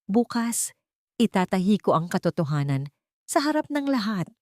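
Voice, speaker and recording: A woman's voice: medium pitch, big pitch swings, moderate pace, darkish tone, no echo, clean studio sound, close to an everyday voice. A woman with a Filipino accent voicing a solemn, determined seamstress bent on revenge in an audiobook story. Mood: resolute. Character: resolute wronged seamstress vowing revenge.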